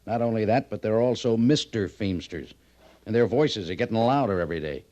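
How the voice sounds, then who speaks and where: An actor playing a harried, authoritative American army major in an old-time radio western, on a muffled old recording. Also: male voice, on the low side, animated, brisk, warm tone, close to an everyday voice. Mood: defensive, explaining. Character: harried, defensive army major commanding a frontier post.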